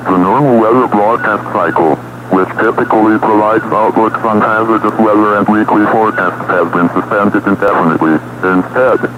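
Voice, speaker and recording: A man playing an impersonal official weather radio announcer, reading a scripted emergency broadcast in a formal, flat delivery. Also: on the low side, close to an everyday voice. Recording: dull, very noisy radio broadcast.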